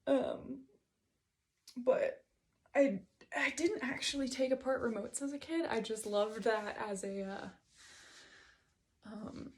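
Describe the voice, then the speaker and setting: A woman's voice: on the high side, slow pace, long pauses. A woman giving a reflective solo monologue, hesitant and digressive as she thinks aloud about her writing. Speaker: host reading and discussing own writing.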